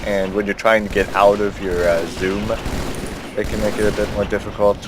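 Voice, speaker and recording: A man's voice: lowish voice, flat delivery, normal speed. A man casually commenting on a video game with friends, rambling and explaining at length with many fillers. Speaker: let's play commentator. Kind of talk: casual gameplay chat. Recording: noisy capture with game sound behind.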